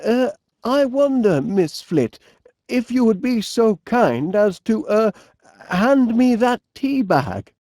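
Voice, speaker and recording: An actor playing a polite, bumbling, enthusiastic inventor with a Northern English accent in an animated video game, hesitant and fussily courteous with quaint turns of phrase. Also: male voice, sits high, slow, no room sound, clean studio sound, heavily acted. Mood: flustered, awkward.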